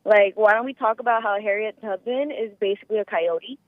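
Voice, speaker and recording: A woman with a squeaky voice calling in by phone, chatting casually in a rambling way full of filler words. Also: fairly quick, no room sound. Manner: rambling, frequent "like" and "you know". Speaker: caller. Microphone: phone call. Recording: muffled lo-fi telephone line.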